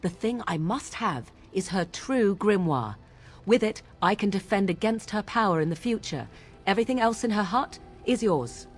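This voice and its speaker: A woman's voice: mid-range voice, lively intonation, moderate pace, soft background music, lightly acted. An actress playing a proud, sardonic young witch in a video game, speaking in formal, archaic phrases with haughty deliberation. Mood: resolute, scheming. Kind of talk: dramatic video game dialogue.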